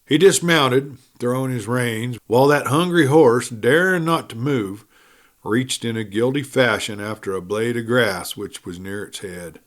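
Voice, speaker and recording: A man's voice: mid-range voice, measured pace. A man reading a western story aloud in a folksy storytelling manner, with rustic wording. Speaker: audiobook reader.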